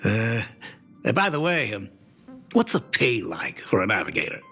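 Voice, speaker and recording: A man's voice: fairly low pitch, sing-song, normal speed, bright, forceful tone, full character voice. An actor playing a middle-aged doting father in a video game, self-deprecating, polite and hesitant in an emotive way. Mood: awkward, nosy.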